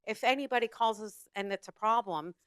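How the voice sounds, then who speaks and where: A big-voiced woman gives an emphatic public comment into a handheld microphone. Her tone is bright and forceful and her sound is smooth and clear. The room comes across dry, with little echo. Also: medium pitch, brisk. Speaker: public meeting commenter, vacation home host.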